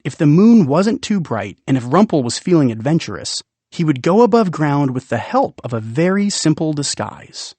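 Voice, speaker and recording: A man narrating a children's audiobook in an expressive, playful way with dramatic pauses. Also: on the high side, no room sound. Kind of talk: expressive scripted storybook narration.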